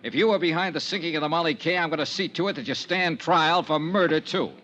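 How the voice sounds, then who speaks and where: An actor playing a tough, wry insurance investigator, clipped and sardonic, acting and narrating in an old-time radio drama. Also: male voice, fairly high pitch, quick pace, pushed and bright. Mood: defiant. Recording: dull vintage radio recording.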